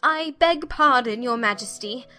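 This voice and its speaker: A woman's voice: a little high, a little slow, pushed and bright, soft background music. A woman voicing a nervous, eccentric hatter in a storybook, pleading in a trembling voice.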